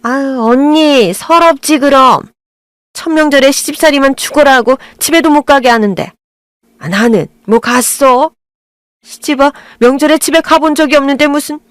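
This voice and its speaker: A woman voicing a meddling aunt-in-law who talks in a drawn-out, whiny way. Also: high voice, dry recording, studio quality, voice pushed up, lightly acted.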